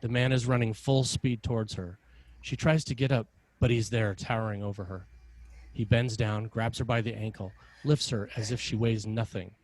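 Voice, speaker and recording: A man with a lowish voice narrates a screenplay. He reads stage directions in a measured, dramatic style. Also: steady tone, darkish tone, no room sound, rough recording.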